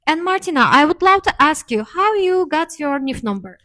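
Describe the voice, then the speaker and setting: A woman's voice: high-pitched, sing-song, normal speed, pushed and bright. A woman from Turkey, co-hosting a casual chat and explaining things with frequent hesitations. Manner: explanatory, many hesitation fillers. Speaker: co-host.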